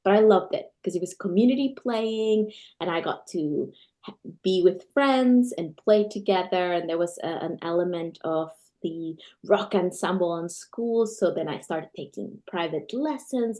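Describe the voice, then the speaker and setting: A woman from Peru, guest on a podcast interview, talks about her life in an eager, storytelling way. Her voice sits a little low for a woman, and she sometimes restarts a phrase as she goes. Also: normal speed, dark tone. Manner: enthusiastic, storytelling with occasional restarts. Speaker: guest, guitarist and scholar. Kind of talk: conversational interview answers.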